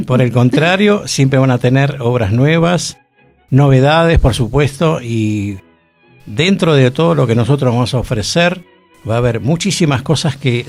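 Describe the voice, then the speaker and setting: A man with an Argentine accent, hosting a radio talk show and chatting conversationally about theater. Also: mid-range voice. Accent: Argentine Spanish. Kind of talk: casual radio discussion. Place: radio studio.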